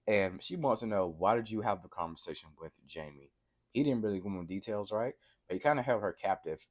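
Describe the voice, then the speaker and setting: A man with a cold and a sore throat, excitedly recapping a TV episode for his viewers and often checking in with a quick "right?". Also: fairly low pitch. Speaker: TV episode reviewer. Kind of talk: casual TV recap vlog.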